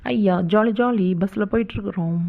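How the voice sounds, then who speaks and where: A woman's voice: mid-range voice, fairly quick, creaky, close to an everyday voice. A woman voicing a cheery, coaxing cartoon village woman with a colloquial Tamil Nadu accent. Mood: cheery, organising. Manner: colloquial, chummy endearments. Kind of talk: cartoon comedy skit dialogue. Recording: tinny phone-like narrow band.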